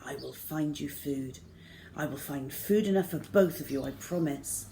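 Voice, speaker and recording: Woman reading a gentle, low-voiced young man. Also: normal speed, vocal fry, slight background noise. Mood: reassuring.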